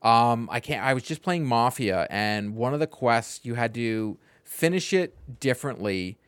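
Podcast guy giving his takes, mid-pitched voice, very dry recording, casual. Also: expressive, measured pace, fairly bright tone, smooth, no echo. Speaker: podcast co-host. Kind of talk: casual opinionated chat.

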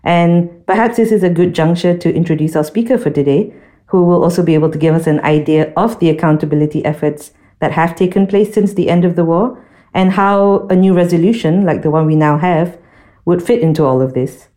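A woman with a low, soft-edged mellow voice co-hosts a discussion podcast. She explains in measured, articulate sentences, laying things out step by step. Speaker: podcast co-host.

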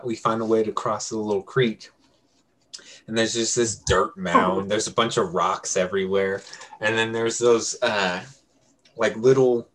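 A young adult man telling a story casually to friends, rambling and correcting himself as he goes. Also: on the low side. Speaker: podcast co-host telling a story.

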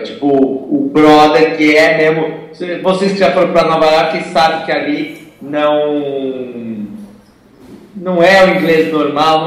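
A man co-hosting a podcast in casual, teasing banter. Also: fairly high pitch. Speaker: podcast co-host. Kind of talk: casual chat. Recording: echoey room.

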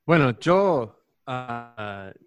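A man from Texas, a guest on a casual chat show, who talks haltingly and searches for his words. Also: mid-range voice, sing-song, faint music behind. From Texas, United States.

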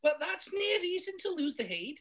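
A man reading a story aloud, putting on a stern, irritable middle-aged Scottish witch in a high falsetto, set back from the mic. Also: big pitch swings, normal speed, fairly bright tone, exaggerated voice. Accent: Scottish, put on. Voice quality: high strained falsetto with thick Scots words.

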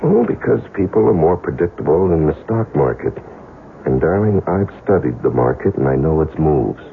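Smooth worldly guy in old radio play, super deep and creaky, wry, measured, confiding. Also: expressive, full character voice. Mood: teasing, intimate. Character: smooth-talking, worldly male market analyst from Chicago. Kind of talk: 1970s radio drama acting. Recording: lo-fi 1970s radio broadcast, slight noise.

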